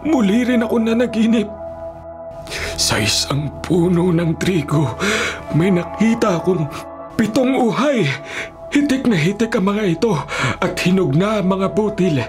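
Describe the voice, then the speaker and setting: An actor playing a troubled, commanding Pharaoh of ancient Egypt in a theatrical, imperious radio drama style. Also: male voice, high voice, expressive, a little slow, pauses often. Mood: troubled, brooding. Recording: radio drama over clear background music.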